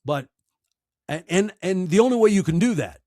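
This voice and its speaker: A man in his sixties with an American accent, giving reflective, earnest interview answers in short, emphatic, deliberate phrases. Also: high-pitched, lively intonation, mellow, no echo, studio quality. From United States. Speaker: podcaster and storyteller, interview guest.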